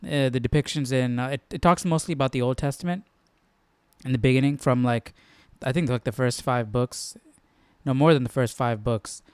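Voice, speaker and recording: A man's voice: mid-range voice, normal speed, darkish tone, smooth, no echo. A man talking informally as a Bible study host, thinking aloud and often checking in with the listener. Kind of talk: casual chat, informal Bible commentary.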